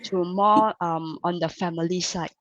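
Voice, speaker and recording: A woman host from Malaysia in a casual online chat, talking warmly about family life with many fillers. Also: on the low side, steady tone, a little slow, no echo, dull audio. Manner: chatty, relatable, many fillers. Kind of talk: casual live chat interview.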